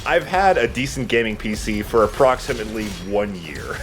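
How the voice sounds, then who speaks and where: A man's voice: fairly low pitch, sing-song, normal speed, slight background noise. A man chatting casually as he commentates on his gameplay, in an informal, self-deprecating way. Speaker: let's player playing and commentating. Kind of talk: casual gaming commentary chat.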